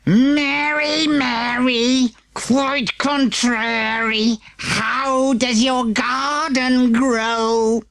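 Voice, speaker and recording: A man's voice: very high-pitched, fairly even intonation, very slow, vocal fry, few pauses, fairly bright tone, pitched above the performer's own voice, full character voice. A man voicing a grumpy big bad wolf pretending to be a grandma, sing-song and grumbling, in a children's audiobook. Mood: mock-sweet singing. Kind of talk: audiobook character acting.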